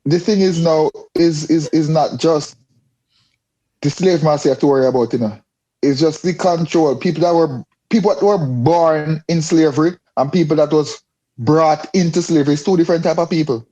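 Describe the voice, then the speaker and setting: A man's voice: a little high, normal speed, warm tone, low-quality recording. A man with a Caribbean accent talking casually and emphatically, often repeating his phrases as he explains. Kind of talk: casual discussion.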